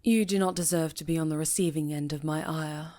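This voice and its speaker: A woman's voice: sits low, fairly even intonation, a little fast, warm tone, no room sound, lightly acted. A woman playing a stern, exacting witch mentor in a fantasy ASMR roleplay, speaking formally and imperiously. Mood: weary, apologetic.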